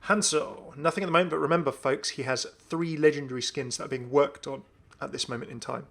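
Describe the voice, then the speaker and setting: A man hosting a gaming video with casual, enthusiastic commentary in a creaky voice. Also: on the high side, steady tone, brisk, a bit bright. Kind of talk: casual commentary on a game update.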